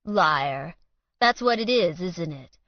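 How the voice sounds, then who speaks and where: A woman voicing a smug, spiteful man who needles his rival in loud, quick taunts. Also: on the low side, expressive, dry recording, lightly acted. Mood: sneering. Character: sneering jealous male councilman, smug and spiteful. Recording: dull lo-fi dry read.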